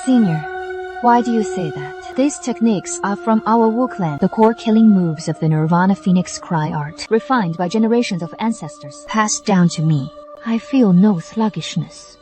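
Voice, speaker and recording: A woman playing a proud, dignified royal clan member in an animated fantasy dub, formal and composed. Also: mid-range voice, moderate pace, faint music behind, lightly acted. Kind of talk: English dub of animated fantasy motion comic.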